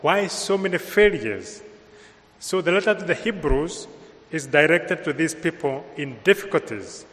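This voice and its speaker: A man with a Kenyan accent giving a homily in a slow, measured way with pauses. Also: a little high, expressive, a bit bright. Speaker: priest. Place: large church with long reverb. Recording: reverberant church hall sound.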